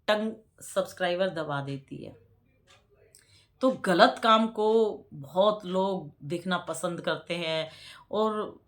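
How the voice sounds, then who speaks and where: A woman's voice: medium pitch, a bit bright. A woman in her forties talking casually to her subscribers, chatty and preachy, often repeating words for emphasis. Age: 40s. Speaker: vlogger.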